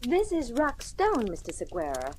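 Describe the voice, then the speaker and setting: A sultry, self-possessed dame from a vintage radio crime story. She is cool and knowing, with lively intonation and faint noise on the recording. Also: fairly high pitch, normal speed. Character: cool, sultry, knowing American femme fatale. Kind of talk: old-time radio crime drama acting. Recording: noisy old radio drama recording.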